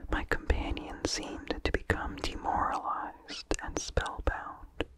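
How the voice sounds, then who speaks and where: Someone whispering an old spooky story for asmr, super slow n hushed, soft whisper all the way. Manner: slow, hushed, deliberate delivery. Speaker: narrator. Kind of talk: whispered ASMR reading of a vintage horror story.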